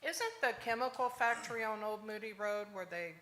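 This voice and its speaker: A woman with a Texan accent, a resident at a public hearing, speaking up loudly from the back of the room. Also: a little high, moderate pace, a little hiss or hum. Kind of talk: public comment. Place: council chamber.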